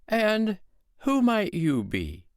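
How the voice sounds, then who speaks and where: A man voicing a calm, shrewd foster mother, talking in a sweetly sly, coaxing way. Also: sits high, slow, no echo, clean studio sound, pitched above the performer's own voice, heavily acted.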